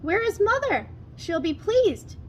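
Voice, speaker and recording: Innocent storybook daughter, played by a female narrator: high, earnest, exclaiming with feeling, slight background noise. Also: sing-song, a little slow, exaggerated voice. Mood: eager. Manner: emotional, exclaiming.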